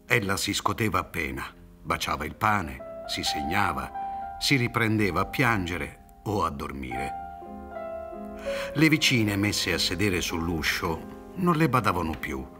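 A man's voice: sits low, very animated, a little slow, over music. A middle-aged man reading scripted literary narration for a radio drama. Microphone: studio microphone, seated on a theatre stage.

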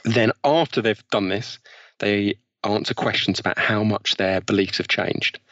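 A man's voice: on the low side, measured pace, fairly bright tone, no echo. A British man speaking as an interview guest, explaining ideas conversationally with examples. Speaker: interview guest, author on behavioral science. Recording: slightly dull, lo-fi sound.

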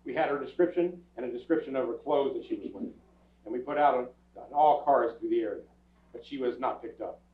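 A man's voice: mid-range voice, a little fast, close to an everyday voice. An older man playing a terse, businesslike police detective in a staged radio crime drama, speaking in a matter-of-fact, procedural manner. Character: businesslike, clipped male police detective narrating his case. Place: theatre stage before an audience. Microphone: far camera. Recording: echoey theatre from far off, tinny.

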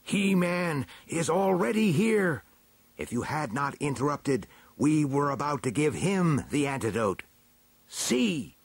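Storybook snow dwarf elder, male and ancient, played by a man: stern, imperious, slow declaiming, raised husky voice. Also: fairly high pitch, sing-song, no echo, pitched above the performer's own voice, full character voice. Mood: accusing. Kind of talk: storybook character acting.

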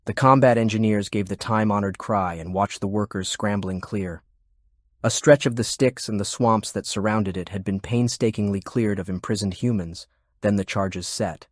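A man's voice: on the low side, normal speed, dry recording, studio quality. A man reading scripted war fiction as an audiobook narrator.

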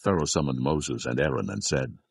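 Guy narrating dramatized scripture, super deep voice w lots of fry, solemn and measured, dry studio sound. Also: expressive, dry recording.

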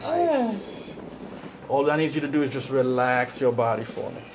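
A man working as a chiropractor, giving calm hands-on instructions with short repeated reassurances in a small treatment room. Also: medium pitch, moderate pace, noisy background.

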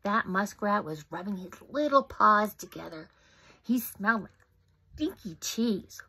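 A woman in her fifties reading a picture book aloud at home into a phone camera, animated, with whispered asides. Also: medium pitch, unhurried, husky. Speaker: storybook reader. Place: home room indoors. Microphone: phone camera close up.

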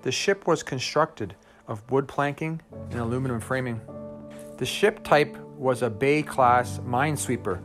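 A man giving a measured, scripted narration of facts. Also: mid-range voice, a bit bright. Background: guitar music.

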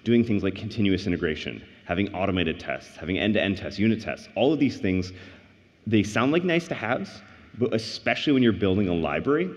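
A man in his thirties with a low voice gives a tech keynote from a big stage, earnest and explanatory, lifting his voice on key points. His headset mic carries a long hall reverb, and the livestream sound is a little dull. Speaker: conference keynote speaker. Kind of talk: tech conference keynote talk. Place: large conference stage.

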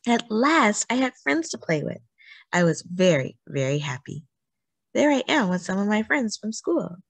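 A woman reads a story aloud for children in a slightly low, husky voice. Her intonation is animated and expressive, with deliberate emphasis on phrases. The sound is dry and close, with little room echo. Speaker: children's book reader.

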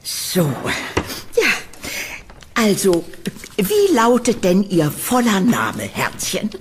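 Creepy sweet old landlady, audio drama, halting and doting, really swoopy pitch, some noise behind. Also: huge pitch swings. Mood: fussing, delighted. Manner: theatrical, halting, doting, with nervous chuckles. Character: eccentric old landlady, sweetly eerie and gushing. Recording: reverberant room, background noise.

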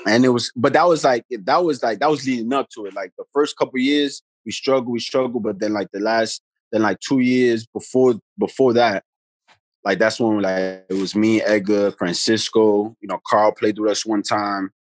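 A man, a guest telling stories in a relaxed, rambling way on a video call from his phone at home. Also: lowish voice, normal speed, no echo. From the Bronx, New York. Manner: laid-back, rambling, many "you know what I mean" tags. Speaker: guest, pro basketball player. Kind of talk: casual storytelling chat. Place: room at home, indoors. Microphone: phone camera held close on a video call.

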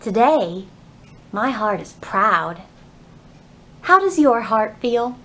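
A woman in her thirties presenting a children's storytime, with expressive, carefully phrased delivery meant for small children. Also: on the high side, slow, roomy sound. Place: indoor library room.

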